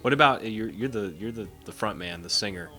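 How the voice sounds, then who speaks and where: A man with a fairly low but bright, forward voice asks questions in an interview. He hesitates and restarts his sentences before getting to the point. Faint music sits in the background. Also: fast talker. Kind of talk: casual interview.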